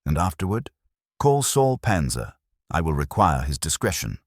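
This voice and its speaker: A man voicing an imperious, stern male detective in an audiobook, speaking slowly, precisely and formally. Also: very deep voice, big pitch swings, dry recording, studio quality, heavily acted. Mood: stern, deliberate. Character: imperious middle-aged male genius detective, stern and deliberate.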